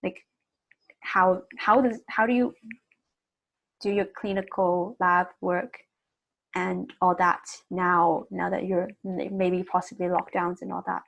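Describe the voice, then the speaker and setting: A woman's voice: on the low side, level tone, slow, creaky, fairly bright tone, poor audio. A woman hosting a webinar on a video call, asking interview questions politely and hesitantly with many fillers. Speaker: webinar host.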